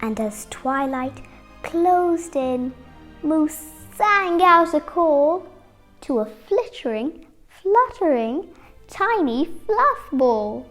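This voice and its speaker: Very slow, high-pitched little girl reading. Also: faint music behind. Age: 8-year-old child. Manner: expressive, dramatic phrasing with deliberate pauses. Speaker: young storybook reader. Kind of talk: children's picture-book read-aloud.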